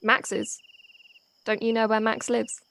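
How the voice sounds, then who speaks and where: A woman's voice: fairly high pitch, completely flat delivery, slow pace, vocal fry, fairly bright tone, smooth, full character voice. A woman acting in an audio play as a young local daughter, loyal, polite and matter-of-fact, friendly but a little guarded. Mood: confiding, matter-of-fact. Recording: faint noise, home personal-device recording.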